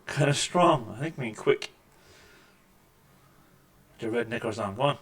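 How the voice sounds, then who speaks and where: A man with a deep voice hosting a casual let's-play, making wry, joking remarks over the gameplay at a desk microphone. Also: expressive, measured pace, breathy edge. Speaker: gaming channel host. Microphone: desk microphone with mixer.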